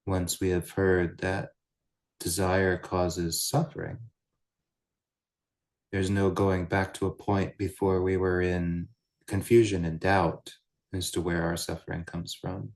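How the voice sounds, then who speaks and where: A man guiding a meditation as a teacher, speaking in measured, deliberate short phrases with pauses between them. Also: sits low, little pitch movement, a little mellow. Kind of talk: guided meditation, unscripted Buddhist teaching.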